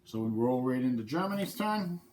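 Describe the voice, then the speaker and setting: A man's voice: mid-range voice, sing-song, fairly quick, darkish tone, a little hiss or hum. A man with a head cold hosting his channel, talking casually through a board game playthrough and explaining the rules step by step. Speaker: channel host.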